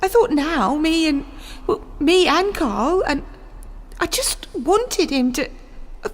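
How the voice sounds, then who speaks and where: A woman playing a gentle, weary centuries-old immortal in audio drama, speaking haltingly. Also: high-pitched, expressive. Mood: hurt, hesitant. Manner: hesitant, halting. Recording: music under the voice.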